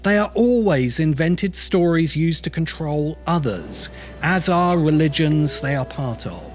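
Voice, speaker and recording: A man's voice: on the high side, lively intonation, unhurried. A man delivering scripted video essay narration in an emphatic, opinionated, rhetorical manner. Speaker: host and writer of science fiction video essays. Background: background music.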